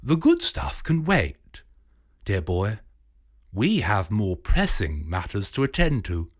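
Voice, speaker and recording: A man's voice: lowish voice, wildly swooping pitch, slow pace, full character voice. A man voicing a genial, scheming British professor with a jovial, clipped upper-class manner.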